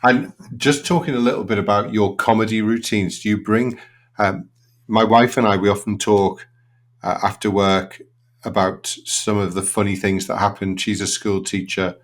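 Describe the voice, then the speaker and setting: A man hosts a veterinary podcast over a video call. His voice sits low, and he talks in an easy, rambling way, with plenty of ums and side remarks between his questions. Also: normal speed. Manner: relaxed and meandering, with many ums and asides. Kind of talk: conversational interview hosting.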